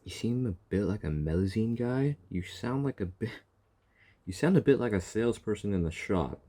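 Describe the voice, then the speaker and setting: A man's voice: fairly low pitch, lively intonation, moderate pace, warm tone. A man giving casual reaction commentary over gameplay, teasingly talking back to the characters. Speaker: gaming video creator.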